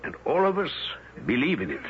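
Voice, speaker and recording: A calmly sinister villager. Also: male voice, medium pitch, a little fast. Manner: calm, sinister, matter-of-fact. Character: ruthless male villager, coldly assured. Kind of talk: 1970s radio drama acting. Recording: dull old radio broadcast.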